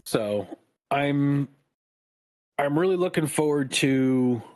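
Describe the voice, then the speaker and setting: A man co-hosting a podcast, chatting casually in a calm, even-tempered voice that is not raspy. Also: fairly high pitch, slow pace. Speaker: podcast co-host. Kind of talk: casual chat.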